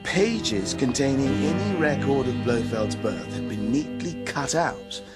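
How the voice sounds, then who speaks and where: Loud music plays behind a man acting a British heraldry scholar in a radio play. He is breezy, knowing and arch, with a middle pitch that swoops about freely. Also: measured pace, lightly acted. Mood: wry, informative. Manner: animated, arch, chatty. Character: wry, breezy, knowing male heraldry expert. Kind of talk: BBC radio drama acting.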